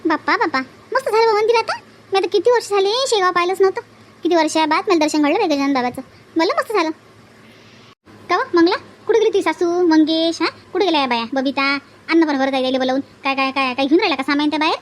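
A woman's voice: very high voice, fast. A woman voicing a bossy, chatty cartoon village aunt with a Vidarbha Marathi accent, nagging and repeating herself. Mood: fussing. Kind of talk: exaggerated comic cartoon dialogue.

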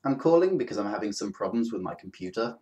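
A man's voice: fairly low pitch, sing-song, quick pace, warm tone. A man playing a flippant, oblivious young gamer with a breezy, dismissive manner in an anime parody dub.

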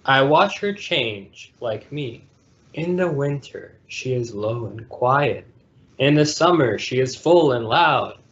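A man's voice: mid-range voice, animated, takes it slowly, a bit bright. A man hosting a storytime, reading a children's picture book aloud over a video call. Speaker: storytime reader and host. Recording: dull lo-fi video call audio.

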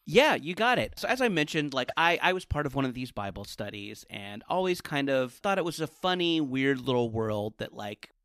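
A man's voice: mid-range voice, big pitch swings, moderate pace, hardly any pauses, fairly bright tone, smooth, dry recording, studio quality. A middle-aged American man chatting casually as a podcast guest, rambling along in an informal, self-deprecating way. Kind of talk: casual interview chat.

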